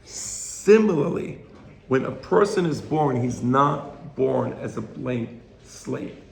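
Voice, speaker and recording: A slightly hoarse man giving an informal religious lecture to a study group, explaining and checking in with rhetorical questions. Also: mid-range voice, animated, slow. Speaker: Torah teacher. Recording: roomy echo, faint background noise.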